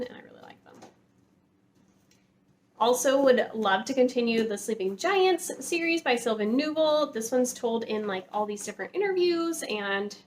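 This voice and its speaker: A woman talking casually to viewers as a book vlogger, gushing and enthusiastic, often dropping "like" into her sentences. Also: on the high side. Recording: clear background noise, room ambience.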